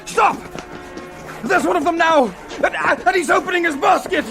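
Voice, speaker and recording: An actor playing an agitated captive professor in a radio adventure serial, urgent and stammering. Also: male voice, very high-pitched, moderate pace, gravelly, bright, forceful tone, soft background music, heavily acted. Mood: panicked. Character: frightened male professor, rescued captive expedition leader. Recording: old radio drama recording.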